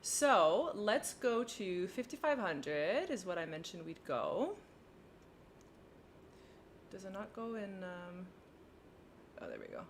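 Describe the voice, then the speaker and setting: A woman's voice: mid-range voice, sing-song, slow, pauses often, slight background noise. A woman with a French Canadian accent hosting a flight sim livestream, chatting casually, giggling often and talking through her cockpit steps. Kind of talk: casual livestream chat while flying.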